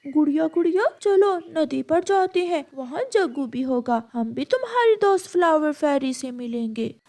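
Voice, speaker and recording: A woman's voice: sits high, animated, moderate pace, a little mellow. A woman voicing a mischievous, scheming young boy bird with a childlike, eager manner in a cartoon. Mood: coaxing. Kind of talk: Hindi moral-story cartoon dialogue.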